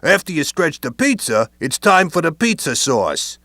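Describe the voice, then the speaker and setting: A man's voice: on the high side, sing-song, moderate pace, creaky, pushed and bright, dry recording. A man narrating a training video in playful wiseguy slang. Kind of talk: scripted instructional narration.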